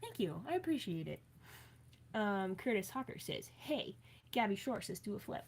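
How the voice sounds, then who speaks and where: A woman from Maryland talks to her viewers on a live stream, chatty and playful. She reads comments aloud and answers them in an easygoing way, with plenty of fillers and quick asides. Her pitch sits in a middle range. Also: very animated, slight background noise.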